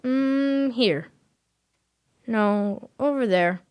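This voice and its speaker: A man's voice: extremely high pitch, extremely slow, darkish tone, clean tone, heavily acted. An actor playing a naive, chatty eight-year-old boy who rambles hesitantly in an audio drama. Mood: indecisive. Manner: hesitant, rambling, childlike phrasing.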